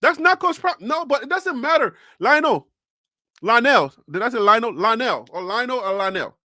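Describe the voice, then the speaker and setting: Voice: medium pitch, expressive, moderate pace, bright, forceful tone, no echo, studio quality. A sports show host from North Carolina ranting on a live stream. The host fires incredulous rhetorical questions and laughs often.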